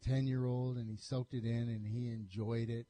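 A male sports radio host chats casually and tells stories. His voice sits in a middle pitch and has a warm, mellow tone. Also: level tone, moderate pace. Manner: conversational, storytelling.

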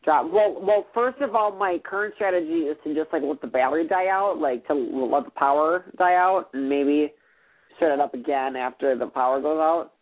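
A man's voice: a little high, moderate pace. A young man co-hosting a casual chat show, rambling anxiously with lots of restarts and fillers. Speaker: podcast co-host. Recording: tinny phone-like sound.

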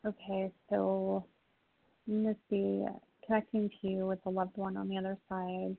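A woman psychic medium giving readings to callers in a gentle, reassuring way. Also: medium pitch, monotone, unhurried, vocal fry. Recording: phone-line audio, faint noise.

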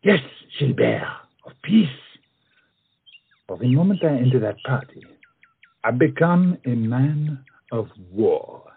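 A man's voice: medium pitch, expressive, takes it slowly, gravelly, breathy edge, phone-quality sound, exaggerated voice. An actor playing a proud, witty, self-conscious French swordsman-poet in a comic audio play, with florid, dramatic phrasing. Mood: indignant. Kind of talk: theatrical comedy audio-play acting.

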